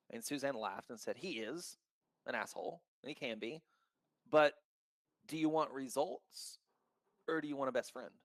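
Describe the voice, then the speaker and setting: A man who founded a crypto token, talking in a casual podcast chat, outspoken and blunt with a loud voice and free swearing. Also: mid-range voice, no room sound.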